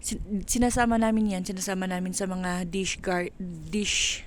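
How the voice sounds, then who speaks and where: A woman with a Filipino accent chatting casually and haltingly for a vlog while walking outdoors, mixing in English phrases. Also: fairly low pitch, steady tone. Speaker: vlogger. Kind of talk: casual walk-and-talk vlog chat. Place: outdoors on a windy countryside walk. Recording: noisy windy outdoor ambience.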